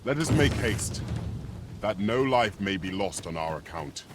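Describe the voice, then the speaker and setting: A man's voice: lowish voice, expressive, a little slow, noisy background. A man voicing a stalwart, confident adventuring companion in a fantasy game, with a grandiose, formal, archaic manner of speech. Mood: resolute. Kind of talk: scripted fantasy game dialogue.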